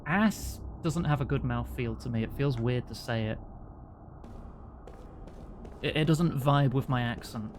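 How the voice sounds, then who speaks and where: A man's voice: medium pitch, a little slow, long pauses, slight background noise. A man giving casual, joking commentary while he plays a video game and thinks aloud. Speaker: streamer. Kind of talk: casual let's-play commentary. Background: game music.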